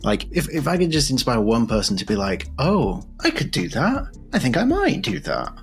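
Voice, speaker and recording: A man's voice: mid-range voice, wildly swooping pitch, moderate pace, faint music behind. A man hosting a podcast in a casual, chatty interview style. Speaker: podcast host, game master.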